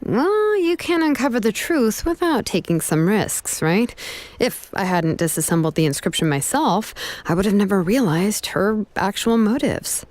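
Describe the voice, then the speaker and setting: A woman voicing a composed, kind and perceptive young woman in a video game, measured, self-assured and reassuring. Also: medium pitch, big pitch swings, darkish tone, natural voice. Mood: reflective.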